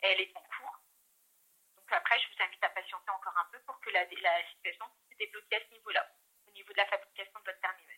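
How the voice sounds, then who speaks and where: A woman's voice: mid-range voice, fairly even intonation, fast, sharp tone. A woman working as a call centre agent, explaining things hesitantly in a customer service call over the telephone. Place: phone call, recorded from the line. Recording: telephone line.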